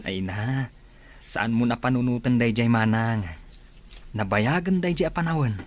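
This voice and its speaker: A man's voice: mid-range voice, a little slow, close to an everyday voice. An actor with a Filipino accent playing an earnest, hardworking married man in a radio drama. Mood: hurt, bitter.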